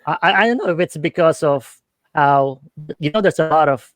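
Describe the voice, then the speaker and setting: A man's voice: fairly high pitch, lively intonation, normal speed. A middle-aged man with a Filipino accent, co-hosting a casual streamed talk show in an upbeat manner with frequent fillers. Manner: upbeat, hesitant with many fillers. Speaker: podcast co-host. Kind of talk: casual chat.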